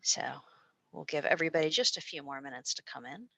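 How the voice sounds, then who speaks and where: Low, bright-voiced American woman presenting. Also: animated, fast talker, no room sound. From United States. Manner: chatty and informal, with frequent fillers and restarts. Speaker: presenter and postdoctoral researcher. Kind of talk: online information session presentation. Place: small room at a university. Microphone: video call.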